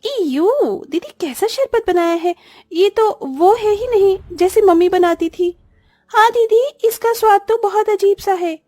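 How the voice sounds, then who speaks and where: Woman voicing a high-pitched, plaintive little orphan girl. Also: measured pace, dark tone, no room sound, clean studio sound, faint music behind. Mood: disgusted, complaining. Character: poor young orphan girls, plaintive little sisters. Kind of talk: scripted cartoon dialogue.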